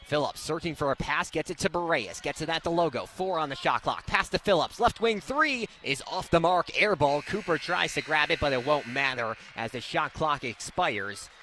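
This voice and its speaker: A young man calling live basketball play-by-play as a radio announcer, with an excitable delivery that swells on big plays. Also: on the high side, moderate pace, few pauses, a little hiss or hum. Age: young adult. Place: courtside at indoor basketball game.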